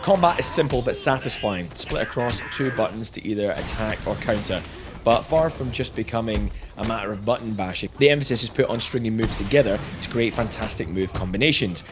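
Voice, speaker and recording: A man's voice: fairly low pitch, expressive, brisk, noisy background. A man narrating a scripted video game review with jokey, chuckling asides.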